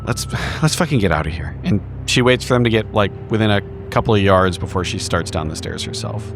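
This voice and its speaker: A man's voice: fairly low pitch, expressive, a little fast, over music. A man playing a tabletop roleplaying game, improvising in character in an argumentative way and swearing casually.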